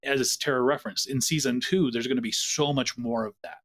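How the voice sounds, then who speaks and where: A man producing an audio drama, talking enthusiastically and comparing the show to other works. His voice sits at a middle pitch. He speaks in casual podcast chat. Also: steady tone, brisk, a bit bright. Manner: enthusiastic, chatty, full of pop-culture comparisons. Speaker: producer and voice actor.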